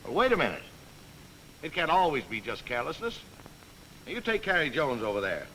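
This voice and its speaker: Sceptical, wisecracking keeper of dead pilots, old training film, punchy and animated, full of slang. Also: male voice, a little high, brisk, rough recording. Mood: wry, grumbling. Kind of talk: 1940s training film acting. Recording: old film soundtrack, background noise.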